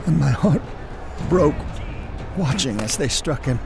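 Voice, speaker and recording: A remorseful Peter played by a man tells his story in halting, stammering first person. His voice is fairly high and swings widely. Strong music plays underneath. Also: expressive, creaky. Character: remorseful apostle Peter, a grown fisherman. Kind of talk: first-person dramatic narration.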